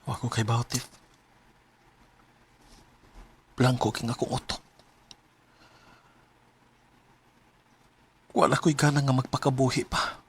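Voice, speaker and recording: A man's voice: mid-range voice, steady tone, fast, slightly rough. An actor playing a grieving, emotional young man in a radio drama. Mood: weary despair. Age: young adult. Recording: music behind the voice.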